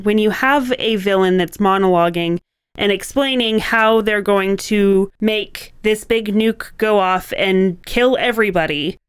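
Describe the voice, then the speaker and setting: A woman's voice: medium pitch, clear, resonant tone, no room sound. A woman co-hosting a podcast, talking conversationally as she explains a craft. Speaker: podcast co-host.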